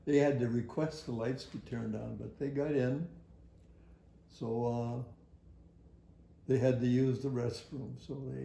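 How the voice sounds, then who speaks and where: Older man, mellow tone, unhurried, halting folksy storyteller, oral history, roomy echo. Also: medium pitch, gravelly, slight background noise. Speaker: retired air traffic facility worker.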